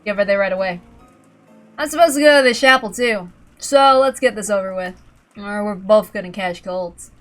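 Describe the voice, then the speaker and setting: A woman voicing a casual, gruff middle-aged father in a visual novel, with a brisk, slangy, offhand manner. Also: medium pitch, a bit bright, soft background music, lightly acted.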